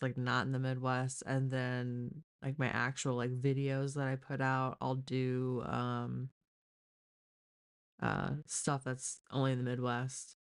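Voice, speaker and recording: A woman's voice: very deep voice, clear, resonant tone. A woman hosting a casual true-crime live stream, speaking bluntly and informally with the odd swear word.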